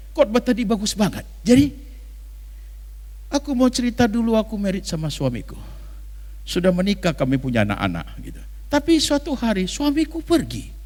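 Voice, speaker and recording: A man's voice: high-pitched, a little mellow, heavily acted. Man doing a frank older Batak wife, echoing hall. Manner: emphatic, frank storytelling. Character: plain-spoken older Batak wife, proud, forgiving, devoted. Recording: reverberant hall sound.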